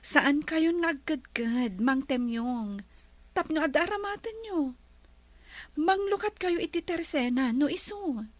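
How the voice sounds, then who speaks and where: A kind, gracious lady of a mansion in a radio drama, played by an actress. Her voice sits high and she speaks gently. The recording is close and dry. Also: lively intonation, measured pace, no room sound. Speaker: employer character.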